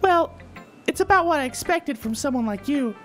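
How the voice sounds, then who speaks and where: Woman doing a bratty high-voiced schoolgirl. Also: lively intonation, moderate pace. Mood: snippy. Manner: blunt, snippy, defensive. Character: bratty, smug, cutesy young schoolgirl, easily riled. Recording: game music under the voice.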